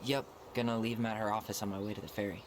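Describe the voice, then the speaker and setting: An actor playing an easygoing young American man in a video game, speaking casually and with gentle, understated humor. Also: fairly low pitch, little pitch movement, rapid-fire, noisy background, close to an everyday voice. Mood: relaxed, practical. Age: young adult. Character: easygoing young man, twin brother leaving his childhood home. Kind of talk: naturalistic video game dialogue.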